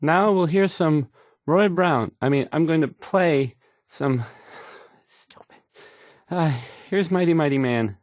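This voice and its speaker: A man's voice: on the high side, dry recording, studio quality. An actor playing an awkward, insecure young male radio DJ who stammers and gets flustered introducing songs. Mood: awkward, halting. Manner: halting, stammering, flustered, self-doubting. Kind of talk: stumbling radio song introductions.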